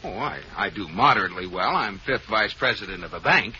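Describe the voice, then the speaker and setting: Worried husband, stammering, lively pitch, noisy old radio broadcast. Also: male voice, brisk, muffled recording. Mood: flustered. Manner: stammering, flustered. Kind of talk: 1940s radio comedy acting.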